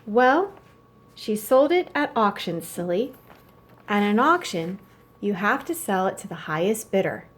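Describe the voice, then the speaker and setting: A woman's voice: mid-range voice, sing-song, unhurried. A woman voicing a young boy in a children's storybook, a blunt, money-minded and eager little brother. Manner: blunt, matter-of-fact.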